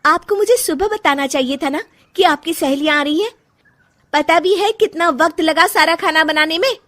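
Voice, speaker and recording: A woman playing an unhappy young daughter-in-law in an animated moral story, speaking in a plaintive, emotional way. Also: very high-pitched, normal speed. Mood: resentful, angry.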